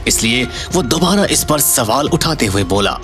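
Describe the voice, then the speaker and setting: Guy narrating an audio story, dramatic scripted read, very animated pitch, music clearly playing underneath. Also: moderate pace.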